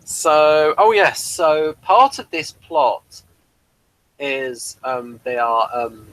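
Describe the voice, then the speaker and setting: A man's voice: on the high side, expressive, extremely slow, a bit bright, no echo, low-quality recording. Man reviewing television in casual chat. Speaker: host of a TV review show.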